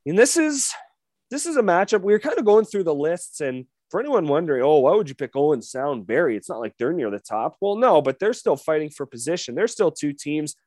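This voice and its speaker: Brief: male podcast co-host, a bit higher voice, dry close mic, casual game rundowns. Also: very animated, brisk, a little mellow, dry recording. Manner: rundown of game details, hedging fillers. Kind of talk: casual sports podcast chat.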